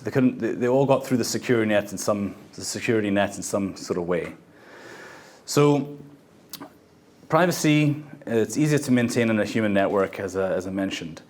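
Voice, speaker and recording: A man who grew up in South Africa giving a meetup pitch talk, halting, with frequent fillers and restarts. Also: a little mellow. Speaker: startup founder presenting. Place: event venue.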